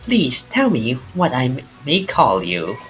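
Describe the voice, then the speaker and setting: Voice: mid-range voice, wildly swooping pitch, a little slow, fairly bright tone, soft background music. A streamer reading a mysterious, courteous narrator of ghostly tales aloud, in a formal, theatrical manner with small stumbles. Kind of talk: reading visual novel dialogue aloud.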